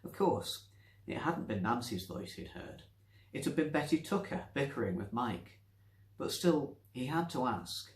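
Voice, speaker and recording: Guy reading a story out loud, expressive, mid pitched, echoey room. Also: a little slow. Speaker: story reader. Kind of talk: scripted narration, expressive storytelling. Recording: roomy, echoey sound.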